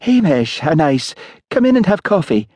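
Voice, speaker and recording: A man's voice: medium pitch, sing-song, unhurried, darkish tone, dry recording, voice pushed up. A man voicing a friendly, frank, slightly vague doctor's wife in an audiobook. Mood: cheerful. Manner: vague, cheerful, chatty. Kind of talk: audiobook character voice.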